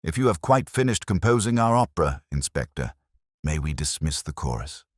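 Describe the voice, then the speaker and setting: Prim townswoman in a mystery tale, acted by a man; rigid irony, animated delivery, dry recording. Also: very deep voice, normal speed, dry recording, full character voice. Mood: arch irony. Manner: arch, sarcastic.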